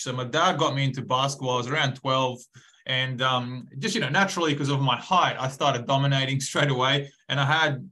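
A man talking as a podcast guest, friendly and relaxed with plenty of fillers. His voice is bright and forward, and it sits at an ordinary middle pitch. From Croatia/Serbia, raised in Australia. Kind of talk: casual interview.

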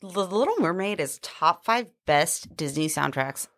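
Woman guest on a podcast, blunt and argues back, pitch goes all over. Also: expressive, measured pace, creaky, a bit bright. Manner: blunt, argumentative. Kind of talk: casual podcast chat.

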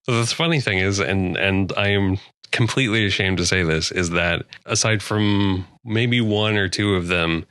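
Male host, low and bright voice, easygoing, dry sound. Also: normal speed, dry recording. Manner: relaxed, self-deprecating, laughs often. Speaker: podcast host. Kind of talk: casual chat.

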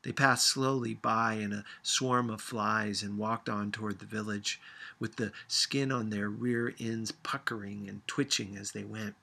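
A man reading a literary story aloud as an audiobook narrator, expressive and dramatic in his delivery. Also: lowish voice, a little slow, gravelly, husky. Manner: dramatic, acts out dialogue. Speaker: audiobook reader.

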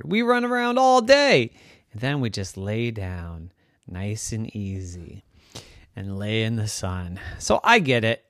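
A woman's voice: very deep voice, fairly bright tone, dry recording, studio quality, heavily acted. A woman voicing Purdy, a touchy young female cat, in a bedtime story.

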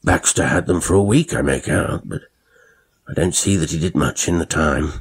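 A man voicing a gruff, impatient older country squire. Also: very deep voice, normal speed, exaggerated voice.

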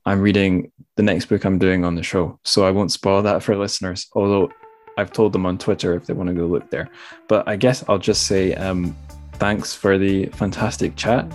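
Man, deep pitch, podcast host, informal rambling delivery, faint music. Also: fairly even intonation, moderate pace, darkish tone. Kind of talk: casual podcast chat.

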